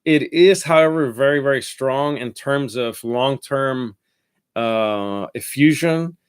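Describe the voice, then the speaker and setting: A man's voice: medium pitch, slow pace, clear, resonant tone. A man with a nasal voice, congested from a head cold, chatting through a casual fragrance review in a rambling, self-correcting way.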